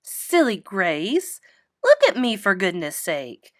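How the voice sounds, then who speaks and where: A woman's voice: mid-range voice, big pitch swings, takes it slowly, no echo, clean studio sound. A woman voicing a prissy, boastful little rich girl, haughty and gushing, in a children's storybook.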